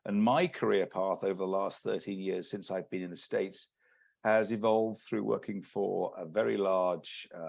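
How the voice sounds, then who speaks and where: A man from the UK with a British accent, giving a measured, businesslike webinar presentation as an executive search partner. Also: on the low side, gravelly, darkish tone. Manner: measured, businesslike, many restarts. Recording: lo-fi video call audio.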